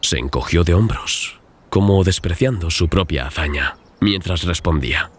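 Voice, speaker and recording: A man's voice: deep voice, moderate pace, a little hiss or hum. A man reading a scripted first-person story as audiobook narration. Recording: music behind the voice. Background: clear background music.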